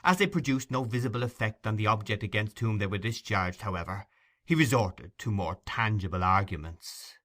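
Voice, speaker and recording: A man's voice: on the low side, expressive, moderate pace, sharp tone. A man reading a classic novel aloud as an audiobook narrator, in dramatic scripted storytelling.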